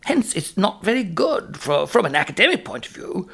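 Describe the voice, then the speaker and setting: A pompous Irish academic gives a mock documentary interview. His creaky voice swoops about with fussy, snobbish flourishes and an Irish accent. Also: sits high, sing-song, pushed and bright, full character voice. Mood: condescending. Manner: pompous, hesitant, theatrically drawn-out.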